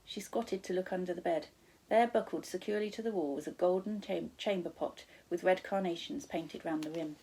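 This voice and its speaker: A woman reading a children's storybook aloud as a teacher, in expressive narration with the odd corrected stumble. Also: on the low side, a little fast, breathy edge, low-quality recording.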